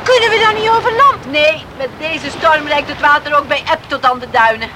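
A resolute farmer in a radio drama. The voice is rather high and speaks earnestly and coaxingly, as a parent seeking help. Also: female voice, expressive, a little fast, noisy background. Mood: urgent. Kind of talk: Dutch radio play acting.

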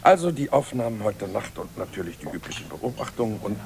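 An elderly scientist in a radio play, low-voiced and creaky. He is hearty and self-assured, chuckling avuncularly and relishing his words. There is clear background noise around him. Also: big pitch swings, a little fast. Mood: pleased, brisk. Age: older. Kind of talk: radio drama acting in German.

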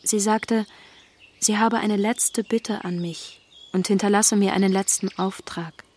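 An actress playing a gentle, devoted young Victorian woman in a radio drama, speaking softly and earnestly with a husky voice. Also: mid-range voice, steady tone, measured pace, a little hiss or hum, lightly acted. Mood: tender, moved. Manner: earnest, solemn. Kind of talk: literary radio drama acting.

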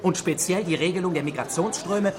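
A man's voice: high-pitched, a little fast, a crowd in the background, lightly acted. A man in a radio drama playing an earnest junior police officer.

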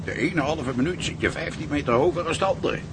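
A man's voice: fairly high pitch, quick pace, noisy background, natural voice. A man acting a kindly, seasoned ship's cook in a Dutch radio drama, folksy and reassuring. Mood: comforting. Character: kindly, fatherly old-hand ship's cook.

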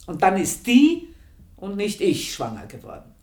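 An actress playing an aging, gossiping woman servant in a radio drama, confiding in a hushed voice that turns emphatic. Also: mid-range voice, wildly swooping pitch, a little slow. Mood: confiding, bitter. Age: older. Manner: confiding, hushed then emphatic, dwells on phrases. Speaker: narrating character. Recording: roomy radio studio sound.

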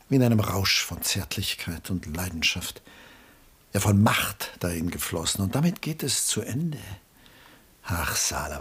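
An actor playing an embittered, lonely middle-aged artist in a mid-century German radio play, melancholy and brooding, with a husky voice and hushed drops. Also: male voice, low-pitched, heavily acted. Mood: bitter, weary. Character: Julian, embittered aging artist, lonely, self-pitying father.

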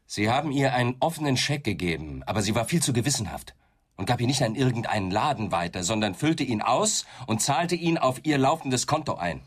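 An actor playing a controlled, relentless police inspector questioning a suspect in a German radio crime drama, insistent and dryly ironic. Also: male voice, mid-range voice, expressive, brisk, husky. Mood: pressing. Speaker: interrogator.

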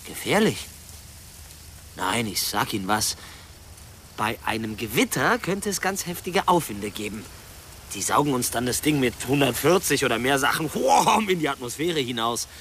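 Young nerdy drone pilot, slangy n flippant, mumbles then swings up and down, radio play, some noise behind. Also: male voice, medium pitch, big pitch swings, fairly bright tone. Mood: flippant, unsettling. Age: young adult. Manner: slangy, muttering, halting. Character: bearded student drone pilot, hesitant, flippant tinkerer. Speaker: actor in a radio drama. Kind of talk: German radio drama acting, naturalistic.

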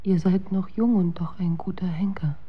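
An actress playing a humble, tender woman in a historical radio drama, soothing and hushed. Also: lowish voice, fairly even intonation, moderate pace, husky. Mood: hushed, admiring. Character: humble, consoling woman of olden times, tender and knowing. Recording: muffled, dull sound.

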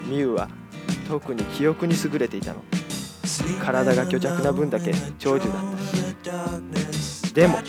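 A man's voice: a little high, a little slow, long pauses, loud music behind the voice. A man narrating an amateur radio drama in a dramatic style, at times with feminine phrasing.

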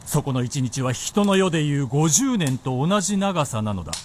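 A man's voice: fairly high pitch, big pitch swings, moderate pace, a little mellow, slight background noise. A man playing an imperious, proud warlord with haughty, archaic lordly speech in a period radio drama.

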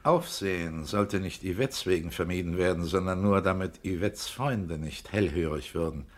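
A shrewd detective with a low voice, in a radio crime drama. He speaks precisely and with measured insistence, laying out his case in clipped phrases to corner his man. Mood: probing, cornering. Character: shrewd male police investigator, coolly cornering a suspect. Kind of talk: German radio crime drama, narrating and interrogating.

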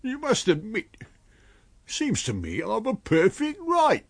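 A man's voice: sits high, huge pitch swings, takes it slowly, no room sound, voice pushed up, heavily acted. A man voicing a miserable, frightened tramp who whines and pleads hesitantly with his captor in an audiobook story. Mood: miserable pleading.

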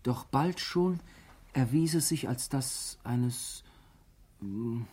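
A hesitant male witness in a radio play. He speaks very slowly in a husky voice, searching for each word and answering with deliberation. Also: medium pitch, warm tone. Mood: hesitant, deliberate. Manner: halting, pausing to search for words. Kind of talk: radio drama acting.

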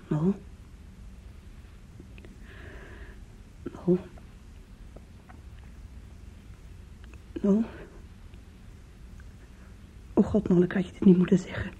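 An actress playing an anguished young woman in a Dutch radio play, confiding in her lover with halting, intimate emotion. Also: mid-range voice, warm tone, slightly rough. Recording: dull, muffled old radio recording.

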